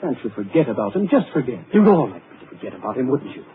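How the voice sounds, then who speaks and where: An actor playing a tired, patient asylum doctor who speaks calmly and soothingly in a 1940s radio drama. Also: male voice, medium pitch, fast talker, natural voice. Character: weary middle-aged male asylum doctor, patient and firm. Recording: muffled lo-fi 1940s radio broadcast with hiss.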